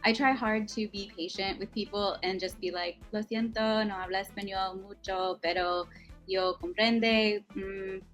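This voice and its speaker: A woman with a light Minnesotan accent talks easily as an interview guest. Her voice sits at a middle pitch, and she laughs at herself often. Faint music plays underneath. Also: steady tone, a little slow, fairly bright tone. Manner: chatty and self-deprecating, laughs often. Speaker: guest, makeup artist. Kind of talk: casual interview chat.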